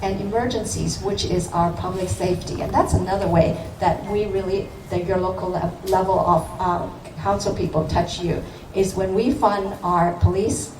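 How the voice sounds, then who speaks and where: A woman's voice: medium pitch, steady tone, normal speed. Middle-aged woman giving a campaign speech, earnest, lots of ums and restarts, sounds far from the mic in an echoey room. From born in Hong Kong, grew up in Los Angeles. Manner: earnest, with many fillers and restarts. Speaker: city council member. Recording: echoey room, voice from afar, noisy.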